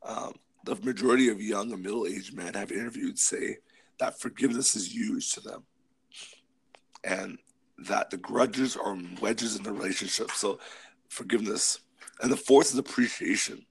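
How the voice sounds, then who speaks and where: A man co-hosting a casual podcast discussion, hoarse from a cold, talking through list points with whispery asides. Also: on the low side, normal speed, low-quality recording. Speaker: podcast co-host.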